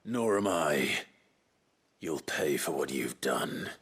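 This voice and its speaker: A man playing a brooding, defiant British warrior in a video game, grave, measured and quietly menacing. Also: rumbling low, expressive, slightly rough, full character voice. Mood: cold, defiant.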